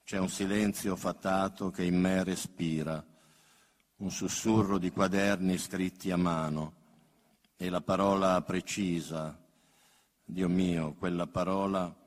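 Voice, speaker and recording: Deep, slow man reciting poetry. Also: flat delivery. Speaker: poet reading own work. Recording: long hall reverb.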